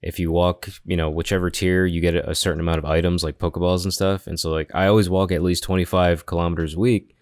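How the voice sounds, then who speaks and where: A man with a low voice talks casually on a gaming podcast. He is chatty and relaxed in his phrasing, like a co-host among friends. The sound is dry, with very little room. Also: fast, hardly any pauses, no echo.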